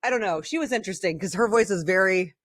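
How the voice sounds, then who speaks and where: A woman's voice: medium pitch, quick pace, no room sound. A woman co-hosting a casual podcast, talking candidly and enthusiastically with rambling asides, close to the mic. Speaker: podcast co-host. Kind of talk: casual conversational podcast chat.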